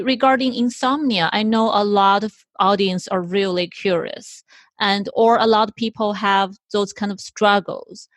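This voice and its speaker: A woman with a cold-roughened, hoarse voice, hosting an interview and politely asking questions. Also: medium pitch, no room sound. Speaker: podcast host. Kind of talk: conversational interview.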